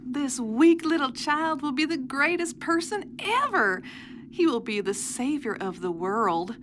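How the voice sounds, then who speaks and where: A woman playing a sweet, gently chiding guardian angel who speaks affectionately and soothingly in a children's audio drama. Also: high-pitched, animated, unhurried, noisy background. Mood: reverent.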